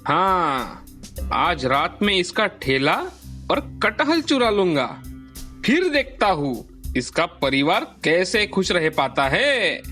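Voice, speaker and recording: A man's voice: high voice, sing-song, slow pace, sharp tone, soft background music, heavily acted. A man voicing a jealous, scheming and rude ice-cream vendor in a moral story, scornful and grumbling. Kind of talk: dramatized Hindi moral storytelling.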